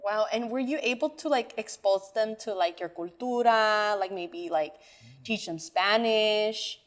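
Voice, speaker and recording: A woman's voice: mid-range voice, measured pace. A woman co-hosting a casual talk show, chatting and reading talking points. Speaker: co-host. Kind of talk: casual podcast chat.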